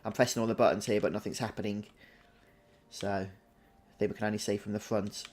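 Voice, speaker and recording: A man giving chatty, unhurried Let's Play commentary, explaining his choices aloud. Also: on the low side, flat delivery, darkish tone. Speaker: gaming video host.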